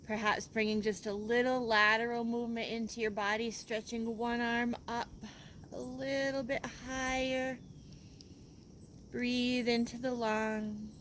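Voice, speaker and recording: A woman yoga teacher leading a guided relaxation in a gentle, unhurried, soothing way, leaving pauses between instructions. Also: fairly high pitch, little pitch movement, a little hiss or hum.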